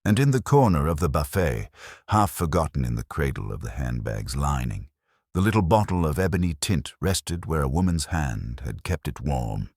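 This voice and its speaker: A man with an extremely low voice narrates a literary mystery. His delivery is measured and wry, with plenty of melodic movement. The sound is dry and close. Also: sing-song, a little mellow, dry recording. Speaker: narrator of a mystery audio drama.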